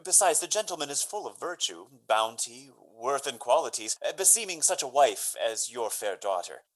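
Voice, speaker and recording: A man playing an earnest, courteous young gentleman lover in a Shakespearean radio drama, speaking florid verse. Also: medium pitch, big pitch swings, moderate pace, close to an everyday voice, low-quality recording. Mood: nervous, deferential. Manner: hesitant openings, then florid Shakespearean verse.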